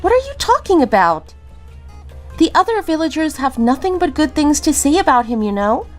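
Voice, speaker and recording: A woman plays a worn-out grown daughter in an audio drama, with a high voice. She sounds fretful, pleading and exasperated over faint music. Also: big pitch swings, moderate pace. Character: exhausted, exasperated grown daughter and young mother. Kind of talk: dramatic dialogue.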